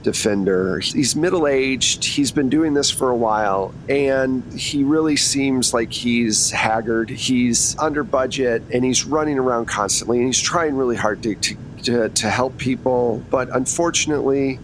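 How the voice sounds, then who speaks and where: A man's voice: mid-range voice, a little slow, few pauses, slight background noise, low-quality recording. A man hosting and narrating a tabletop game in a conversational style as game master.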